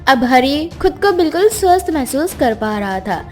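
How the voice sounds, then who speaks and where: Woman reading out a kids fairy story, high voice, music playing under her. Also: sing-song, moderate pace. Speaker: narrator. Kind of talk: scripted children's fairy tale narration. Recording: music behind the voice.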